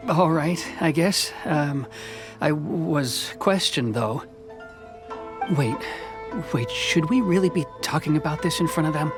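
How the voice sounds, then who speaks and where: Game casting: a careful, jittery boat hand, lightish male pitch, hesitant delivery over clear music. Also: measured pace. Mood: nervous. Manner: stammering, wary. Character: nervous, cautious boat preparer. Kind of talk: video game character acting. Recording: background music under the voice.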